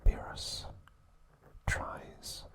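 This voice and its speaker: Male ASMR host, breathy whisper throughout, slow and hesitant trivia narration. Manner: soft unvoiced whisper with frequent "uh" pauses.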